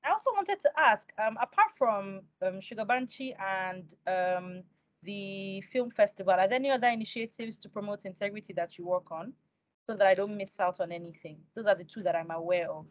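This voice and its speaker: Young Nigerian woman, interview host, conversational, medium pitch, dry close sound, muffled video call. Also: a little fast, dry recording. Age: young adult. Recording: dull video call audio.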